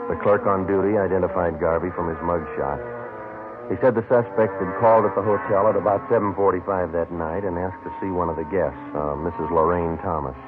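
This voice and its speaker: An American actor playing a terse, businesslike police detective in a 1950s radio crime drama, clipped, matter-of-fact and deadpan. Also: male voice, deep voice, close to an everyday voice. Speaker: actor, lead and narrator. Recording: tinny old radio broadcast, music behind.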